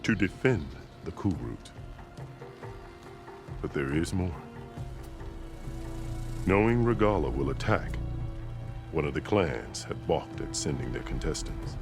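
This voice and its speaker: Scarred old-world warrior chief in a game, super deep voice, solemn speechy delivery, music behind. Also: male voice, animated, slow, pauses often, heavily acted. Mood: commanding, grave. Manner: solemn, formal, declamatory. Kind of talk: dramatic video game dialogue. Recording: music under the dialogue.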